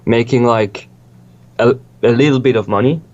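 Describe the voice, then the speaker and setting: A man's voice: mid-range voice, moderate pace, darkish tone, highs cut off. A young man from Switzerland, a music producer, chatting casually in an interview, rambling and swearing freely with lots of restarts.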